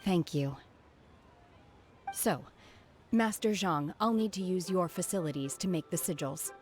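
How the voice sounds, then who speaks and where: An actress playing a reserved, earnest young woman in a video game, speaking calmly and formally. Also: lowish voice, unhurried, soft background music, natural voice.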